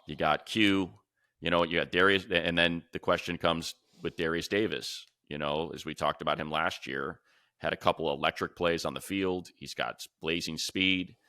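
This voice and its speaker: A man with a deep, forceful voice works through casual sports analysis as a co-host. He thinks out loud, listing names as he goes, and the sound is dry and close. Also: steady tone, normal speed, clear, resonant tone, dry recording.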